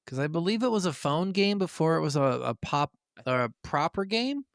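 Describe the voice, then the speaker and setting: A male podcast co-host with a fairly high, clean voice, recorded close and dry. He chats casually about games with real enthusiasm and swears freely as he goes. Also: lively intonation, moderate pace, creaky, dry recording. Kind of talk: casual gaming podcast chat.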